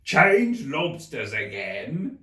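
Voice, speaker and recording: A man voicing a brash, excitable gryphon in a storybook, loud, blunt and quick to interrupt. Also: mid-range voice, pitched above the performer's own voice, exaggerated voice. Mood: excited shouting. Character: brash, excitable gryphon, a bossy mythical beast. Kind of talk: theatrical storybook character reading. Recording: roomy echo, distant microphone.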